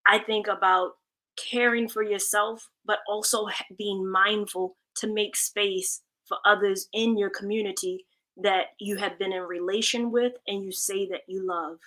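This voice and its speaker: Woman on a panel, bright clear-cut voice, earnest and thoughtful, talking community health. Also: medium pitch, fairly even intonation, a little slow. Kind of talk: reflective panel discussion.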